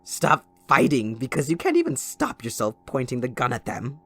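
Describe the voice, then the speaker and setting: Wildly swooping, gleefully unhinged supervillain. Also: male voice, medium pitch, huge pitch swings, normal speed, husky, exaggerated voice. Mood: gloating, menacing. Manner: theatrical, taunting, mock-sweet, swinging between hushed menace and outbursts. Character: sadistic, theatrical male supervillain kidnapper, possessive and gleefully unhinged. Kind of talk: dramatic audio roleplay monologue.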